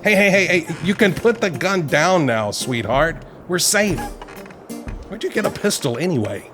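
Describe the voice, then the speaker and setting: Casting: condescending male author acted by a man, lively higher voice, haughty delivery, audio play over clear music. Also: normal speed. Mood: relieved, condescending.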